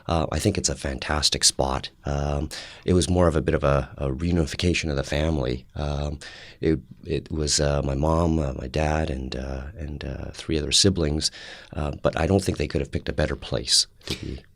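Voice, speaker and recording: A man in his fifties who grew up speaking Cantonese talks as an interview guest, pausing and restarting as he reflects. His voice sits extremely low. The sound is dry and close, with no room echo. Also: fairly even intonation, gravelly, a bit bright. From Canada. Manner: thoughtful, halting, with frequent fillers and restarts. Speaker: interview guest, public servant. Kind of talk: casual interview chat.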